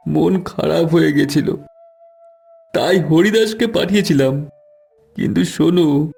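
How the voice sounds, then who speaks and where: A man playing an old village farmer, stern but kind underneath, with a creaky voice, for a cartoon moral tale. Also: fairly high pitch, darkish tone. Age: older. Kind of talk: Bengali moral-story cartoon voice acting.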